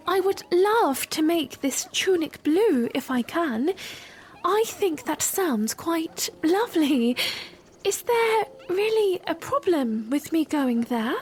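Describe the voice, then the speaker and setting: A woman playing a polite, gentle young princess, shy and genteel, in a fan audio drama. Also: very high-pitched, sing-song, slow pace, heavily acted. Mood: curious.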